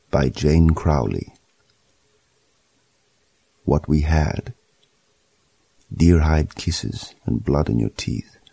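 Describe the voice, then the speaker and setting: A man's voice: rumbling low, creaky, warm tone, breathy edge, no room sound. A man reciting a poem as a dramatic literary reading. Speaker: poetry reader.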